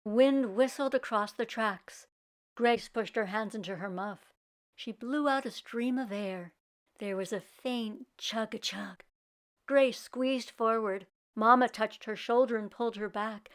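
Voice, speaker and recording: Slow-paced woman storyteller for children. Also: on the high side. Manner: teacherly, clearly paced. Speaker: children's read-aloud storyteller. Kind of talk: expressive storybook narration with questions to young listeners.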